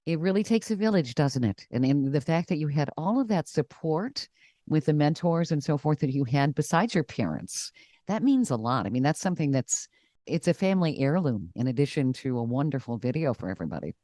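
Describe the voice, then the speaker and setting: Lively-voiced woman podcast host. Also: low-pitched, a little fast, no echo, studio quality. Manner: warm affirming reactions to the guest. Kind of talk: casual interview chat.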